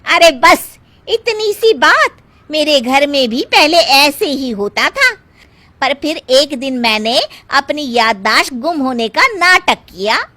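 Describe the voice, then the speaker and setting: A woman voicing a bossy, cheerful middle-aged female friend who coaxes with emphatic, drawn-out words in a family story. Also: high-pitched, animated, sharp tone, no room sound, full character voice. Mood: conspiratorial.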